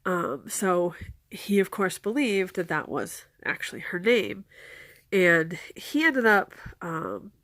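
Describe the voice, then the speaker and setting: A woman with a stuffed-up nose from a cold, calmly explaining folklore and giving practical advice in a casual, earnest way. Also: lowish voice, slow pace, no room sound, clean studio sound.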